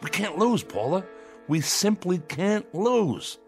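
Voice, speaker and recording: An actor playing a bossy, impatient small-time crook in a radio drama, brash and wisecracking. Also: male voice, high-pitched, sing-song, slow pace, vocal fry, slightly rough, exaggerated voice. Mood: scheming, excited. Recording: radio drama with music underneath.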